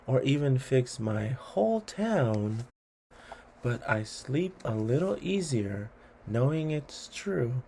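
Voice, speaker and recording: A man reading funny children's rhymes aloud in a deliberate, theatrical storytelling way. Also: mid-range voice, a little mellow, slight background noise. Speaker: read-along host.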